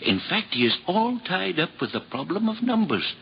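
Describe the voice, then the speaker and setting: A man's voice: high voice, big pitch swings, normal speed, vocal fry, husky, exaggerated voice. Father Pico, the flustered cook priest. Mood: worried. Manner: flustered, hesitant, with many uhs. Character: fussy, kindly monastery cook priest, man. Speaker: actor in a radio drama. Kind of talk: 1950s radio drama acting. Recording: lo-fi old radio broadcast, faint hiss.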